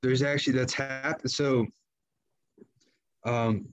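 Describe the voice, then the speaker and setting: A young man with an ordinary mid-range voice, relaxed and drawling as he chats. He talks like a casual podcast co-host among friends. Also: mid-range voice, slow pace. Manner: laid-back, drawling, says "dude" often. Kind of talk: casual chat.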